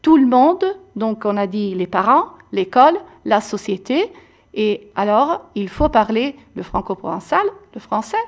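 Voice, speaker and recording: A woman making an emphatic statement on a panel, slowing down to stress her points. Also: medium pitch, lively intonation. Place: public hall with audience.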